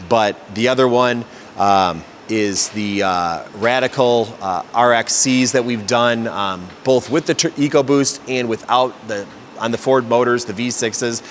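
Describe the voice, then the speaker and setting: Male host, mid-pitched, conversational tradesman explainer for casual Q&A; light background noise. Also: unhurried. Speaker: host, exhaust shop fabricator. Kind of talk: casual question-and-answer explainer.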